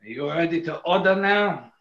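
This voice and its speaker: A man playing an unhurried waitress at a New York gin club in a 1940s-style radio comedy. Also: on the high side, expressive, a bit bright, reverberant, dull audio. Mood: dutiful, unhurried.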